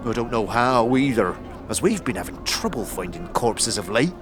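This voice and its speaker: A man's voice: medium pitch, sing-song, moderate pace, over music, natural voice. A man playing a cheery, chatty ragged corpse collector in an audio drama, upbeat, rambling and slangy. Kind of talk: audio drama character acting.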